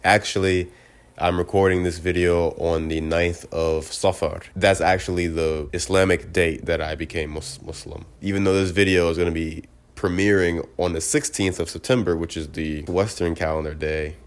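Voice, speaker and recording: Congested man, extremely deep and fairly monotone, earnest solo vlogger who restarts words. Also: moderate pace, clear, resonant tone. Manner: earnest, often restarts words mid-syllable. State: congested from a cold. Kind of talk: casual personal video reflection.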